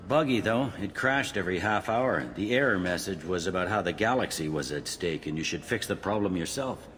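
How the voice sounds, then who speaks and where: An actor playing a matter-of-fact, world-weary police officer in a video game, briefing in a dry, informal, clipped way. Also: male voice, deep voice, expressive, brisk, a bit bright, a little hiss or hum.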